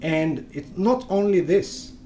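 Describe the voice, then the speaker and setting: A man's voice: high-pitched, slow pace, mellow, slight background noise. A young Moroccan man whose first language is Berber, speaking English in an interview, hesitant, with many fillers and restarts. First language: Berber (Tamazight). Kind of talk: conversational interview.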